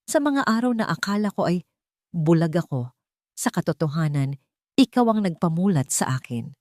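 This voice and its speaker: A woman voicing an earnest, tender wealthy man in a romance audio story, speaking with slow, intimate emotion. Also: fairly low pitch, lively intonation, darkish tone, no echo, clean studio sound, close to an everyday voice. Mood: loving, intimate.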